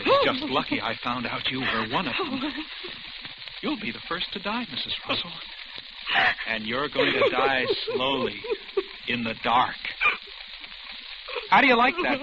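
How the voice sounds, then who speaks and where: An actor playing a paranoid, deranged cook who gloats and taunts with menacing politeness in an old-time radio suspense drama. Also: male voice, high-pitched, wildly swooping pitch, unhurried, gravelly, pauses often, heavily acted. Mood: paranoid gloating. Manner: taunting, repeatedly addressing his victim with mock politeness. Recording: muffled vintage radio recording, loud crackling hiss.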